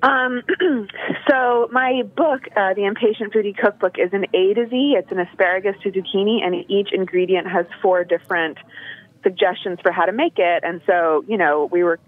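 A woman's voice: mid-range voice, normal speed, no echo. A young woman calling in as a guest, talking casually and laughing often, with many fillers. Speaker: guest on a phone-in. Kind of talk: casual phone interview. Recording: tinny phone line.

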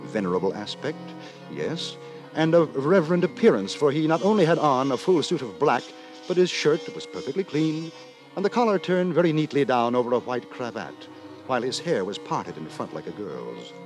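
An actor playing a prim, moralising gentleman who narrates in wordy, formal, mock-solemn 1950s radio drama style. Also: medium pitch, sing-song, normal speed, lightly acted. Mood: wry, measured. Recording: 1950s radio, music behind.

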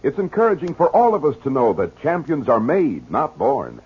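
A man's voice: medium pitch, huge pitch swings, moderate pace. A man reading a 1950s radio commercial in an upbeat, sing-song way, on a dull, lo-fi old recording. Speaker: commercial announcer.

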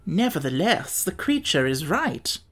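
A woman's voice: deep voice, sing-song, unhurried, full character voice. A woman voicing a self-assured, stubbornly logical male insect from a storybook, declaring things in a lecturing way. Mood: approving.

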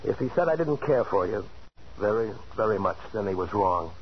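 An actor playing a cool, mercenary lover in a 1940s radio thriller, with blunt, slangy delivery. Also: male voice, on the low side, lively intonation, normal speed, lightly acted. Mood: cool, dismissive. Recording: muffled 1940s radio recording, faint noise.

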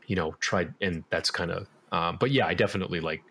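A man with a very low voice, talking loosely as a guest in an interview. His speech wanders with fillers and restarts. He sounds unhurried. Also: husky. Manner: relaxed, rambling, many fillers and restarts. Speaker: podcast guest, musician. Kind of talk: casual interview talk.

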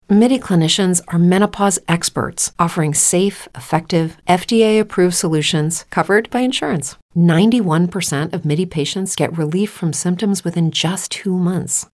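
A woman giving a measured, reassuring scripted voice-over for a health advertisement. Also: lowish voice.

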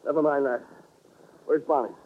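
An American actor playing an impatient, insistent man in a 1940s radio drama, husky and urgent, on a tinny recording. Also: mid-range voice, moderate pace, slight background noise, lightly acted. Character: impatient, insistent man demanding his lost woman back.

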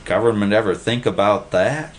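A man's voice: fairly low pitch, moderate pace, slight background noise, close to an everyday voice. A man voicing a simple, timid male underling who chatters in folksy, ungrammatical talk in a storybook reading. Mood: chattering, doubtful.

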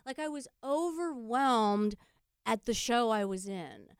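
A woman chatting casually as a guest, with halting, emphatic phrasing. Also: a little high, animated, clean tone, no room sound, studio quality. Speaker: podcast guest, stage performer. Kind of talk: casual chat.

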